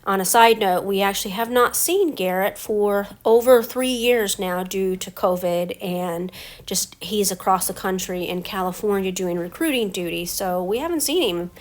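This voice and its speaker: Middle-aged lady with a stuffed-up cold voice, chatting calmly through her scrapbook steps. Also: medium pitch, normal speed. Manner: relaxed, explains each step as she works. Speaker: scrapbooking crafter. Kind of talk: casual craft voice-over.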